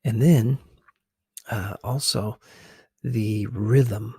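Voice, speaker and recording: Slow-talking man, close and dry. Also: lowish voice, steady tone, gravelly, darkish tone, slightly rough, no echo. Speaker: ASMR video host. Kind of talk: casual ASMR commentary on film scenes.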